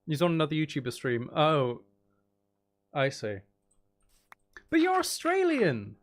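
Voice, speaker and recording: Sarcastic man streamer over clear background noise. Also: a little high, wildly swooping pitch, measured pace. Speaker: livestream host. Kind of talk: casual livestream chat.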